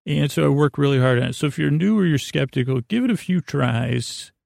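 Middle-aged male podcast host, gravelly and creaky, goofy halting bedtime rambler, close dry sound. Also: fairly high pitch, darkish tone, no room sound. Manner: meandering, halting, repeats himself, goofy.

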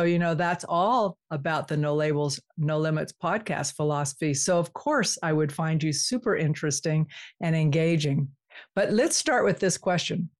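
A woman hosting a podcast, asking friendly, rambling questions in a chatty way. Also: fairly low pitch. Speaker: podcast host. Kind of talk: casual interview chat.

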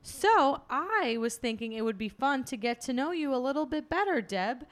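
A woman's voice: high-pitched, expressive, normal speed. A woman co-hosting a casual podcast, chatting playfully and reading quiz questions aloud. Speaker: podcast co-host. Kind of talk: casual chat.

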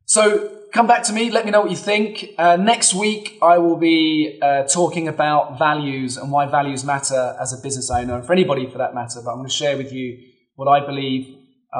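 A man in his thirties hosts a solo podcast, his voice a touch hoarse from a cold. He talks in an earnest, advisory way and thinks aloud while coaching listeners. His pitch sits in an ordinary middle range for a man. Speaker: podcast host and business coach. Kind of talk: solo motivational podcast talk.

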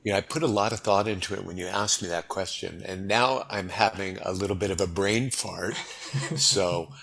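A middle-aged American man with a low, husky voice talks as a podcast guest. His manner is easygoing and chatty, and he gives relaxed, friendly advice. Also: moderate pace. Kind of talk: casual interview chat.

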